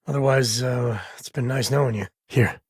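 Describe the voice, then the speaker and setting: An actor playing a rugged space-ranger father in a video game, with a husky, raspy voice and a wry, earnest, conversational manner. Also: medium pitch, steady tone, moderate pace, a little mellow, no echo, clean studio sound, lightly acted. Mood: wry, steady. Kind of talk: video game character voice lines.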